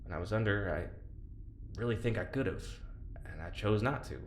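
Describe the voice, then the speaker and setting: A man playing a guilt-ridden, stubborn prisoner in an audio drama, halting, blunt and naturalistic. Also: lowish voice, close to an everyday voice. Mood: weary, reflective. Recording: roomy echo, clear background noise.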